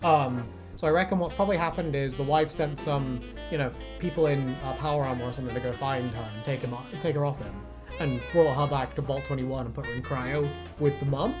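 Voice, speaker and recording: Fast-talking, sweary man chatting. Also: medium pitch, fairly even intonation, over music. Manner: sweary, rambling. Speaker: video host. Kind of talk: casual fan discussion chat.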